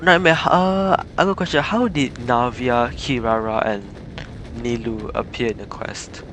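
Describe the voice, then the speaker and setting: A man's voice: medium pitch, moderate pace, fairly bright tone, a little hiss or hum, poor audio. A man giving casual, rambling and giggly live commentary as a game streamer. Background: game music.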